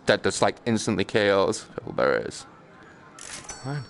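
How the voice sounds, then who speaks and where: Animated, slangy man streaming a game. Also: fairly low pitch, normal speed, soft background music. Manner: slangy, sweary, joking. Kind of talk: casual live-stream gameplay chat. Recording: clear background noise.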